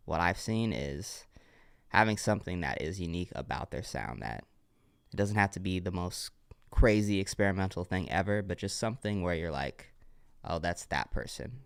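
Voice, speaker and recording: A man's voice: low-pitched, creaky, dry recording. A young man with a congested voice, giving laid-back, encouraging feedback on music submissions during a livestream. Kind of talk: casual livestream commentary.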